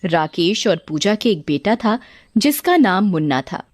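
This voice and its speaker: A woman's voice: medium pitch, big pitch swings, a little slow, no echo, clean studio sound. A woman reading a scripted story narration for children.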